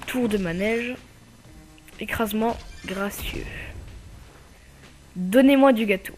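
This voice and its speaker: A man's voice: high voice, faint music behind. A man with a slight cold giving casual, hesitant commentary over his own gameplay as channel host. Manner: hesitant, many filler pauses.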